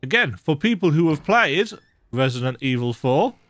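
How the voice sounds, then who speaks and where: A man's voice: fairly high pitch, big pitch swings, moderate pace, a bit bright, dry recording. A man with a bit of a cold giving chatty, self-deprecating commentary while playing a horror game at home. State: slightly sick with a cold. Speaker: gaming video host. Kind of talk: casual let's-play commentary. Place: dark room at home with lights off.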